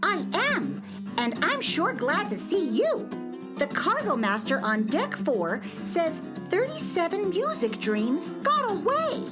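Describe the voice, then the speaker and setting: A woman's voice: very high voice, wildly swooping pitch, slow, over loud music, full character voice. A woman playing a plucky, cheerful, confident ship's first mate in a children's radio play. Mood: excited.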